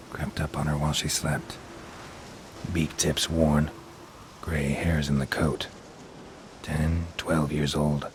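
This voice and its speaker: An actor playing a gruff male monster hunter who speaks in clipped, flat, terse phrases. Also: extremely low pitch, background noise. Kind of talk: video game voice acting. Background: game music.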